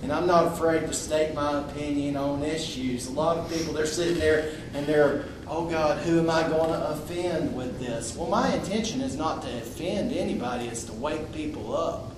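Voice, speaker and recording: A man speaking loudly and bluntly to a public meeting, with frequent restarts. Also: fairly high pitch, moderate pace, few pauses, recorded from a distance. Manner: loud, outspoken, with frequent restarts. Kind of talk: impromptu public address. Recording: echoey room recorded from afar, noisy.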